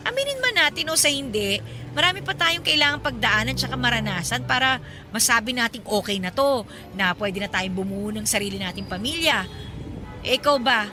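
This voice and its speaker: A woman's voice: a little high, expressive, a little fast, close to an everyday voice. An actress playing a practical, guarded young woman from the province in a radio drama, speaking conversationally and matter-of-factly. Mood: earnest, cautious. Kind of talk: Tagalog radio drama acting. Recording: music behind the voice.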